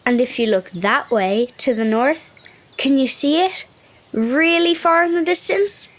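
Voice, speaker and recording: A high young voice playing an eager, adventurous boy in a children's audio drama, acted by a woman. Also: measured pace.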